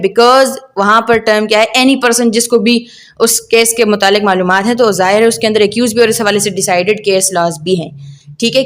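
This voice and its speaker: A woman with a Pakistani accent gives an explanatory law lecture in a fairly high voice. She teaches by putting questions and answering them herself, and slips English legal terms into her sentences.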